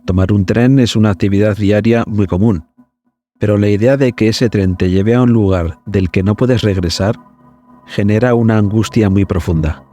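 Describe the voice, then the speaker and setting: Low, mellow male storyteller. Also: moderate pace. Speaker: podcast host and narrator. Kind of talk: scripted storytelling narration.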